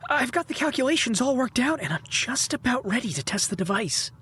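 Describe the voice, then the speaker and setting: An actress as an eager young male inventor in a period audio drama. The voice is husky and fairly high, moving animatedly through enthusiastic explanations. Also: sing-song, fairly quick, fairly bright tone, heavily acted. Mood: excited, explaining. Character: eager, brainy seventeen-year-old boy inventor from 1915.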